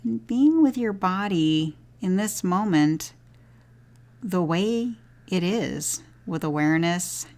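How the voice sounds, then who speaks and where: A woman leading a guided body scan meditation as a teacher, giving gentle, unhurried instructions with long pauses. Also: lowish voice, expressive.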